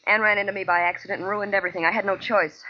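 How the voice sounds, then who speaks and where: A woman's voice: medium pitch, little pitch movement, rapid-fire, a little hiss or hum, lightly acted. An actress playing a cold, self-possessed female killer, a scheming femme fatale in a 1940s radio noir drama. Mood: confessing. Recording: muffled old-time radio recording.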